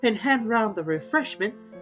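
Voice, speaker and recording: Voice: mid-range voice, animated, unhurried, fairly bright tone, soft background music, voice pushed up. A storyteller voicing a curious, opinionated little girl with a prim, wondering manner.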